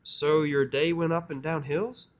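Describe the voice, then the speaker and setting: A man's voice: on the high side, fairly even intonation, unhurried, pitched above the performer's own voice, lightly acted. A man voicing a naive, affectionate little girl pony with a childlike, curious, eager manner. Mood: puzzled.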